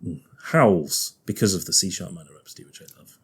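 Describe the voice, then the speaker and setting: Liverpool guy chatting on a podcast, kinda husky, low-ish voice, laughs a lot. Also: expressive, fast talker, fairly bright tone. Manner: chatty, laughs often, thinks aloud with restarts. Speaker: guest organist. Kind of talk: casual podcast chat.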